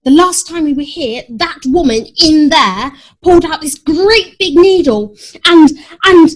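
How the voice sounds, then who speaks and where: A woman's voice: high-pitched, expressive, a little slow, pitched above the performer's own voice. A woman voicing an overdramatic, frightened young pet cat who exclaims theatrically in a children's story. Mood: panicked.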